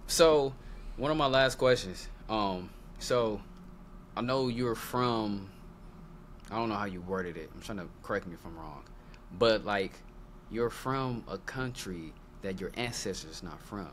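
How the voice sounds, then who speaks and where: A man hosting a casual interview, putting questions in informal, halting phrases. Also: fairly low pitch. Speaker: podcast host. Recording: noticeable background noise.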